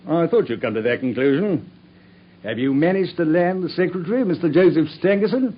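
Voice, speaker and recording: A smug, husky inspector. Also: male voice, fairly high pitch, expressive, fairly quick. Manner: smug, pleased with himself. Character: self-satisfied male police inspector, smug. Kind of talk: radio drama acting. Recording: dull old radio recording.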